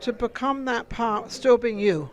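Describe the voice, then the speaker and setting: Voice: medium pitch, unhurried. Teasing talkative red carpet host. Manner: talkative and teasing, jokes with guests. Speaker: host and interviewer. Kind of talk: chatty red carpet interview. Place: indoor red carpet event.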